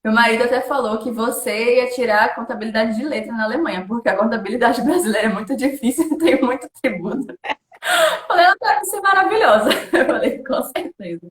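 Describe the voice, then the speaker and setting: A woman's voice: a little high, expressive, fairly quick. A woman from Salvador, hosting a live-stream interview in a chatty, friendly way and laughing often. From Salvador, Bahia, Brazil. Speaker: host, German teacher. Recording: echoey room.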